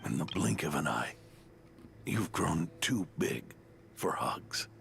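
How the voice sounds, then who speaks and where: A gentle, dying knight from a game drama, speaking low and very slowly. His voice is gravelly and halting, tender and resigned, like a father figure. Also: very animated, faint music behind, full character voice. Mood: tender, weak. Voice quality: breathy, gravelly, whispery. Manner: slow, halting, affectionate. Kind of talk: dramatic video game voice acting.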